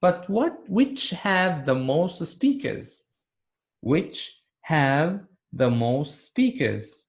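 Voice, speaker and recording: Male English teacher, very slow and deliberate, slightly higher voice, repeats words for learners. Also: big pitch swings. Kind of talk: recorded video lesson.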